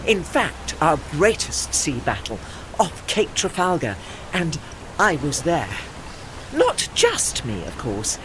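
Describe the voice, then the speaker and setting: A man acts out an old British warship, dignified and learned, in an audio play. The speech is formal and precise, with hugely varied intonation and a wistful pride. A clear wash of ambient noise sits behind the voice. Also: fairly high pitch, wildly swooping pitch, slow pace, heavily acted. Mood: proud, wistful. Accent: British English. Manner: formal, articulate, pedantic, apologetic. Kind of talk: audio drama dialogue.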